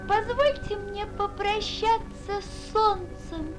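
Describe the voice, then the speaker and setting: An actress playing a tiny, gentle, wistful young girl in a classic cartoon, meek and tender in manner. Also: very high voice, slow. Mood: meek, pleading. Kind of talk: classic Soviet cartoon voice acting. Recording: old cartoon soundtrack with music.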